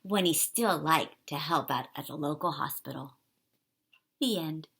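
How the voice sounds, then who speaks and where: A woman reading a children's picture book aloud in an expressive, animated way for young listeners. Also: sits low, unhurried, creaky, slight background noise. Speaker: storybook reader.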